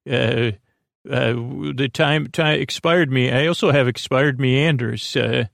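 A man hosting a bedtime podcast in a creaky, croaky voice, meandering and stammering in a soothing, lulling monologue. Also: medium pitch, fairly bright tone, dry recording, clean studio sound. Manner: meandering, halting, stammering, self-interrupting. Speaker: podcast host.

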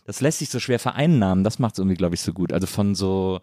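A man's voice: deep voice, expressive, fast, dark tone, no room sound, clean studio sound. A man hosting a casual interview, speaking informally in long, rambling, enthusiastic sentences. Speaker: podcast host, interviewer.

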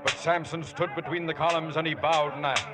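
An actor playing a solemn biblical storyteller, grave and stately, in 1950s radio narration. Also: male voice, a little high, fairly even intonation, a little fast, lightly acted. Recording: old radio broadcast, loud music behind.

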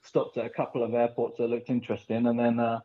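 A man's voice: medium pitch, fast talker. Man telling rambling stories, echoey. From Philippines. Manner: rambling, animated storytelling with frequent fillers. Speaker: pool player guest. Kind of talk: casual podcast interview. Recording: echoey, dull lo-fi recording.

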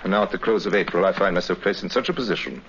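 Deep-voiced, courtly English criminal mastermind. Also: male voice. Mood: aggrieved, threatening. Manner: formal, unctuous, deliberate. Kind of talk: 1950s radio drama acting. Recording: muffled, faintly hissy 1950s radio recording.

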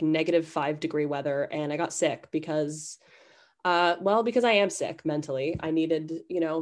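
A woman's voice: fairly low pitch, a little mellow. A young woman hosting a casual podcast intro, a little nasal from a cold, chatty and self-deprecating. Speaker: podcast host.

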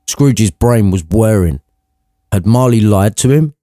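A man's voice: fairly low pitch, expressive, a little slow, mellow, no echo, studio quality. A man reading an irreverent storybook narration, cheeky and conversational. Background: background music.

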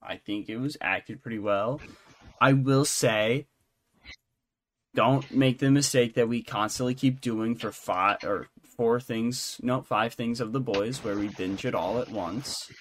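A man talking casually as a podcast co-host, in a halting, rambling way. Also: medium pitch. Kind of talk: casual chat.

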